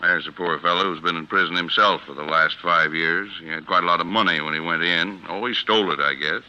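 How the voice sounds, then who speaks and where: A man's voice: sits low, brisk, sharp tone. An actor playing a fatherly, reassuring law office boss, middle-aged, with an American accent and an earnest manner, in 1940s radio style. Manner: earnest, avuncular. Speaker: defense attorney, head of a law office. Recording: muffled vintage radio recording.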